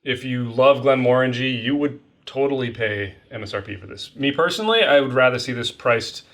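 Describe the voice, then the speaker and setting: A man's voice: medium pitch, lively intonation, a little fast, a bit bright. Upbeat man leading a whisky review. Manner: upbeat, leads the segments and tallies scores. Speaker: podcast co-host. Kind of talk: casual spirits review chat.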